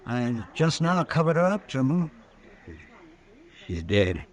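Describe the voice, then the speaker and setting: An actor playing a kindly but gruff frontier town doctor in a radio western, hesitant and plain-spoken, with an American accent. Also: male voice, mid-range voice, sing-song, slow pace. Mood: somber, consoling. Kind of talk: old-time radio western acting.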